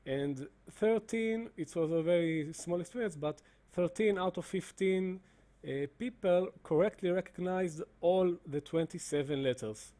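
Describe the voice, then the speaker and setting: Guy walking through his software, soft dark voice, lots of uh's and restarts. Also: high voice. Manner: halting, many fillers and restarts. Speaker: presenter demonstrating software. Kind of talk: screen-recorded explanation.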